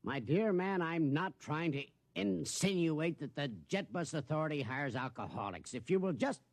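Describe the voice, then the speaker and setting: An actor playing a brisk, pompous, impatient security investigator in a 1950s radio drama. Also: male voice, fairly high pitch, lively intonation. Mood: urgent, commanding.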